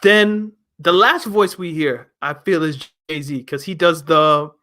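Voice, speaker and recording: A young man with a fairly high voice reviews an album in casual chat. He argues his points emphatically and fires off rhetorical questions. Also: takes it slowly, bright, forceful tone. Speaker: music review host.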